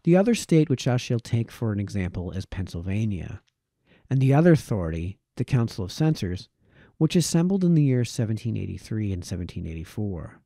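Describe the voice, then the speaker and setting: A man reading a formal historical text aloud as a narrator, in measured, formal phrasing. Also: on the low side, sing-song, mellow, no echo, studio quality. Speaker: reader, narrator.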